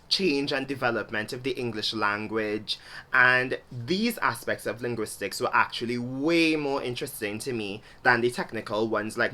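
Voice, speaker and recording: A man's voice: mid-range voice, pushed and bright. A young man with a Trinidadian accent, from Trinidad and Tobago, explaining his thoughts in a solo vlog in a thoughtful, explanatory way. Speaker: vlogger. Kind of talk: solo talk-to-camera vlog.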